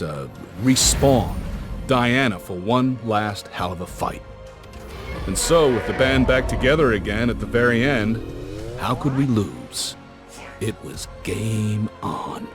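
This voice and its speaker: An actor playing a folksy older male adventurer who tells war stories to a youngster in a game cutscene. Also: fairly low pitch. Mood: wry, dramatic. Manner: folksy, slangy, reflective storytelling. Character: folksy older male adventurer, warm storytelling uncle type. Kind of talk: cinematic game cutscene narration. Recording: music behind the voice.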